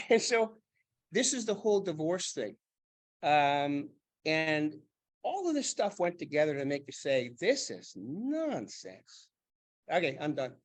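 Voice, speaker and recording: A man on a panel, an animated and joking storyteller who used to preach. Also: on the high side, measured pace. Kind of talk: panel discussion.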